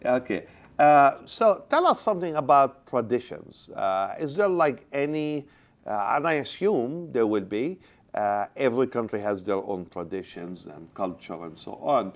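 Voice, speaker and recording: A man's voice: medium pitch, measured pace. A male host interviews a guest on community television. His voice rises and falls freely as he piles on questions. He sounds encouraging and keeps the talk moving. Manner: asks many follow-up questions, lively and encouraging.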